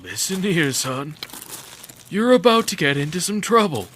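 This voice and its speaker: A man's voice: a little high, big pitch swings, a little slow, a bit bright, a little hiss or hum. A man playing a stern, suspicious elderly security guard in an audio drama, giving folksy, cautious warnings. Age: older.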